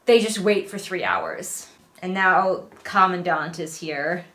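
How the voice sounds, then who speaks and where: A woman's voice: on the low side, sing-song, slow pace, fairly bright tone. A middle-aged woman giving casual, exasperated read-along commentary on a book, sarcastically acting out quotes as she goes. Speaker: book review host.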